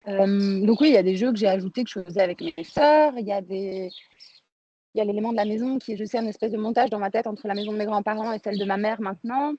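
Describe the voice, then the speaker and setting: A woman's voice: medium pitch, fast talker, mellow, slight background noise. A woman from France speaking as an interviewed film director, reflective and informal, thinking aloud and rephrasing as she goes, on slightly lo-fi audio.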